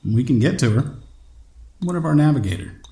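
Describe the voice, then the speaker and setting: An actor playing an earnest, resolute man of action and diplomacy in an audio drama, measured and dryly humorous. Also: mid-range voice, animated, warm tone, close to an everyday voice. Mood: dry, determined. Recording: echoey space with faint noise.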